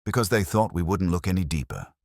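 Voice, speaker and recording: A man voicing a calm, steady male police inspector who asks probing questions quietly. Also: sits low, quick pace, dry recording, studio quality, voice pushed up.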